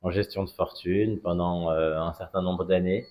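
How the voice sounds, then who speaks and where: A man in his forties with a fairly low voice speaks as a guest in a conversational interview. He is from Strasbourg in France and builds his phrases carefully, pausing and hesitating often. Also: fairly even intonation, darkish tone. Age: 40s. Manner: hesitant, measured phrasing with frequent pauses. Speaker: interview guest, cigar brand ambassador. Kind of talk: conversational interview, personal story.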